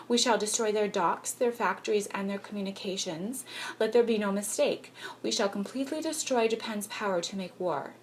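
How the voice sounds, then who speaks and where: A woman reading a historical political speech aloud in a solemn, declamatory, deliberate manner, like an actress delivering a formal address. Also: mid-range voice, slight background noise.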